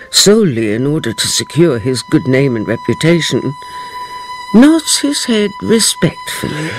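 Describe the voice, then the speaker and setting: A woman's voice: low-pitched, low-quality recording. An actress playing a grave, stately woman storyteller narrating a radio drama in declamatory style. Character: grave, theatrical woman storyteller revealing a revenge tale.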